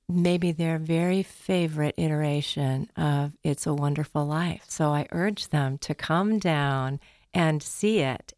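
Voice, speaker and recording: An older woman speaking reflectively as a guest in a radio interview, with deliberate, emphatic phrasing. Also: sits low, darkish tone, no room sound, studio quality. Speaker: interview guest, community theater player. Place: radio studio.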